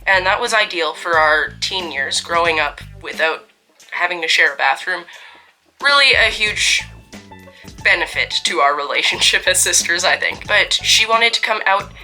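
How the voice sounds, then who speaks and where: A young woman from Canada, just getting over a cold, chats through a story-time vlog with wry, self-mocking humor. Her voice sits a little low but sounds very bright and forceful. Faint music runs underneath. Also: animated, normal speed. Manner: chatty, wry and self-deprecating. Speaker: vlogger telling a story.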